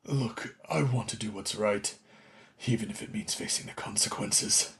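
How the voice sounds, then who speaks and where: A man playing a washed-up older children's TV entertainer in a radio play, contrite and theatrical, with a creaky voice. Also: fairly low pitch, fairly bright tone. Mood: weary, confessional. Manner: dramatic, halting pleading and grand showman delivery.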